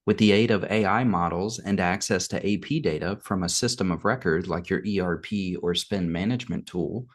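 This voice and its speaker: Low-pitched male product demo narrator. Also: moderate pace, hardly any pauses. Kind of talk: scripted software demo narration.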